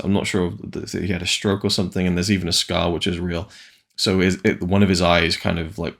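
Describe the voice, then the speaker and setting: A man from Wales giving a casual, rambling film review, full of hesitant restarts. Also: low voice.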